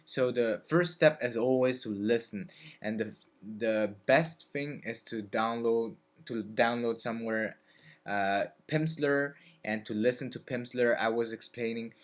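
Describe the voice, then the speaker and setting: A man's voice: medium pitch, a little slow. A young man from Belgium, talking to his webcam in a dim bedroom, hesitant with many fillers. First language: French. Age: young adult. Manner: hesitant, many fillers and restarts, gestures with hands. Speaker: vlogger. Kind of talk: casual talk to camera about learning languages. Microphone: webcam at desk.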